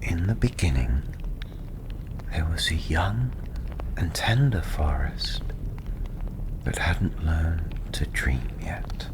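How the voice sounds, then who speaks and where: A man playing a welcoming fantasy tavern storyteller, speaking softly and soothingly in a gentle, half-whispered ASMR style over clear fireside ambience. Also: rumbling low, sing-song, heavily acted. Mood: hushed, soothing. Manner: hushed, gentle, unhurried, often half-whispered. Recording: clearly audible ambient background noise.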